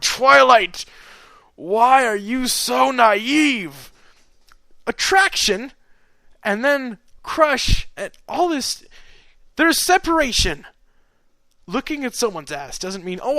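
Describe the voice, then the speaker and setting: A man's voice: extremely high pitch, very animated, slow pace, pushed and bright, dry recording, voice pushed up, exaggerated voice. A man voicing a brash, impish young female pegasus pony from a story, teasing in a casual, exclamatory way. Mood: exasperated.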